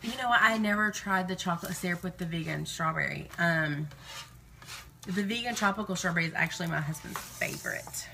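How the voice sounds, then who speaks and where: Brief: female live-stream host, thirties, bright forward voice, informal rambling chat, faint kitchen noise behind. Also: lowish voice, a little fast, gravelly. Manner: chatty, digressive, informal. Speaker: live-streaming vlogger and meal-prep host. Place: home kitchen, indoors.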